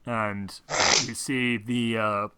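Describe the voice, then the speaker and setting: A man's voice: fairly low pitch, little pitch movement, drawn-out words, very noisy. A man narrating a tabletop game as game master, casual and improvised, teasing his players and swearing freely.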